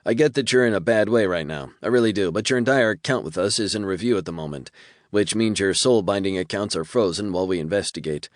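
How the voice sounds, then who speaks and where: A man's voice: low-pitched, quick pace, no room sound, studio quality, close to an everyday voice. A man voicing a breezy, folksy male demon who runs his business coldly and calls people Hoss.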